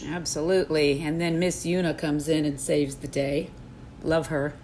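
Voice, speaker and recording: A woman's voice: low voice, level tone, moderate pace, dark tone, slight background noise. A woman speaking as an author and co-commentator in casual, enthusiastic conversation.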